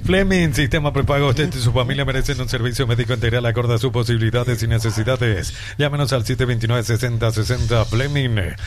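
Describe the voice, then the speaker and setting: A man's voice: mid-range voice, steady tone, a little fast, hardly any pauses, slight background noise. A man with a Paraguayan accent reading scripted radio advertisements as an announcer. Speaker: commercial announcer.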